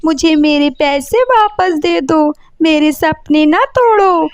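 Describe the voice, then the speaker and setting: A woman's voice: sits high, takes it slowly, no echo, muffled recording. A woman voicing a distraught, accusing female crow in a cartoon, wailing, pleading and threatening.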